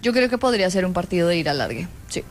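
A woman's voice: lowish voice, very animated, quick pace. A woman with a Colombian accent, a sports journalist giving her account in an unscripted panel discussion.

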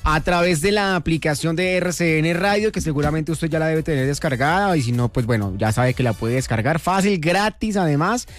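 A man's voice: on the high side, soft background music. A man co-hosting a radio show, reading station plugs in an upbeat, easy way. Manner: upbeat, quick listing, easy laughter. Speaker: radio co-host. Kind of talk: radio promo and banter.

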